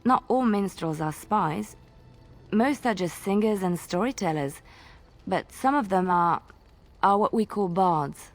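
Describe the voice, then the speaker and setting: A woman playing a guarded, wistful minstrel in a video game, with a gentle storytelling manner. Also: mid-range voice, expressive, unhurried, faint music behind. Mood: guarded, explanatory. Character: wistful, guarded young woman minstrel, former spy turned devout. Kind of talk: video game dialogue acting.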